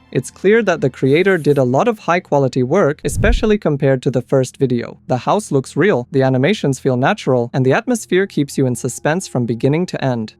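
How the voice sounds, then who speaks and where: A man's voice: medium pitch, a little mellow. A man reading scripted explainer commentary as the host of a video essay. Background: background music.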